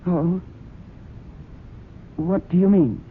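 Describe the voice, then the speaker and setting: A woman's voice: fairly low pitch, extremely slow. An actress playing an anxious, guilt-ridden young woman in old-style radio drama, tense and dramatic. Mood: guarded, tense. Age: young adult. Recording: tinny, noisy radio drama recording.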